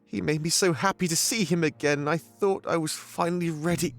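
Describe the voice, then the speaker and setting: A man's voice: fairly high pitch, fairly even intonation, a little fast, fairly bright tone. An actor playing a grieving, self-reproaching male warrior in a visual novel, sombre and confiding. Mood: regretful. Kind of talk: dramatic visual novel acting. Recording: background music under the voice.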